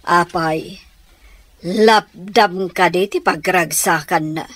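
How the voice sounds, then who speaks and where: A woman's voice: fairly low pitch, slow, fairly bright tone, dry recording. An actress playing a sly, manipulative middle-aged stepmother in a radio drama, with a theatrical, conniving delivery. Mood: coaxing. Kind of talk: Ilocano radio drama acting.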